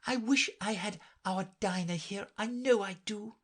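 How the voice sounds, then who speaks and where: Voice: medium pitch, a little slow, pitched above the performer's own voice, lightly acted. The narrator voicing a polite, curious little girl who is eager and humble. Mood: eager, fond.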